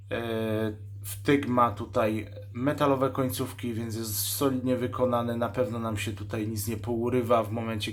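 Male host, mid pitch, plain technical demo delivery with small pauses. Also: a bit bright. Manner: matter-of-fact, technical, listing specs; pauses mid-sentence while handling the device. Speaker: radio shop host reviewing a walkie-talkie. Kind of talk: unscripted product demonstration.

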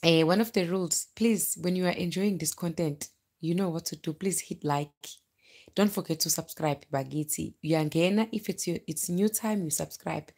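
A woman's voice: lowish voice, moderate pace, breathy edge. A South African woman in her thirties chatting casually and animatedly on a livestream, telling personal stories into a phone in a bedroom. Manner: chatty, animated, breaking off to greet viewers. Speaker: livestream vlogger, storyteller. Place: bedroom, indoors, lying in bed. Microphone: phone at arm's length.

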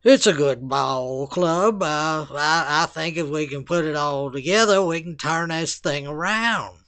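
A man playing a baseball team manager in a radio sketch, speaking haltingly in clichés. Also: on the high side, a bit bright, smooth. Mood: halting, hopeful.

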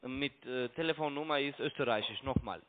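A man's voice: mid-range voice, fairly quick. A man with a foreign accent, not a native German speaker, arguing defensively and repetitively as a phone caller, in broken grammar. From outside Germany. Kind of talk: argumentative phone conversation. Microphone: telephone. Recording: tinny narrowband phone line.

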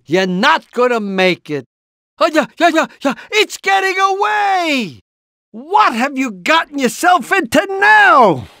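A man's voice: sits high, a bit bright, no room sound, clean studio sound, exaggerated voice. A man voicing an anxious, encouraging, portly middle-aged rooster father in a cartoon video game, with a hesitant, rambling, fatherly manner. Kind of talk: animated video game character lines.

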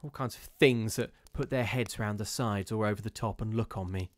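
A man's voice: on the low side, brisk, no room sound, lightly acted. A man voicing a nervous, kindly landlady who frets and frightens herself. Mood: anxious. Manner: fretful, anxious.

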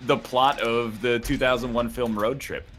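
A man's voice: medium pitch, fairly even intonation, normal speed, a bit bright. A man chatting casually and teasing his friends during a group gaming session. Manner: teasing, jokey. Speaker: gamer in a group play session. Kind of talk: casual banter over gameplay. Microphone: online voice chat mic. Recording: faint background noise on voice chat.

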